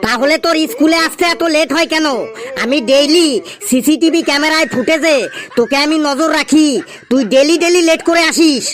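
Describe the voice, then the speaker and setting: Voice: high voice, brisk, a bit bright, no echo. A performer voicing a stern, scolding schoolteacher in a comedy cartoon, bossy and full of questions. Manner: bossy, interrogating.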